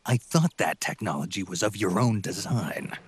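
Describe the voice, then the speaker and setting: A man voicing a gruff, weary aging veteran soldier in a video game, terse and brooding, with a gravelly, husky voice. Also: low voice, animated, moderate pace, no room sound, full character voice. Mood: suspicious. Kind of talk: video game cutscene acting.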